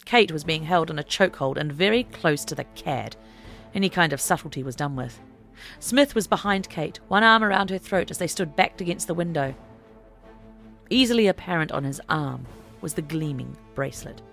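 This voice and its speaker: A woman's voice: sits low, lively intonation, normal speed, creaky, fairly bright tone, faint music behind. A woman narrating an audiobook story in a dramatic, scripted reading style.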